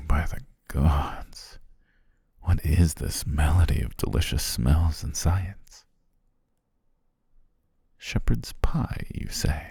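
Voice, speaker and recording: Man as a very deep, gravelly demon king. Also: mellow, no echo, heavily acted. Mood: delighted, savoring. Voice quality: very deep, gravelly, husky, breathy. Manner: formal, old-fashioned courtly phrasing, savoring. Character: courteous, flattering demon king, grand yet playful. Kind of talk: ASMR roleplay, intimate second-person acting.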